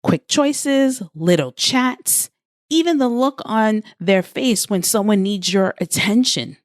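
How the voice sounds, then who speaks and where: A woman hosting a scripted motivational podcast as a coach, speaking deliberately phrase by phrase straight to the listener. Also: mid-range voice, lively intonation, no room sound, clean studio sound. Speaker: podcast host and life coach.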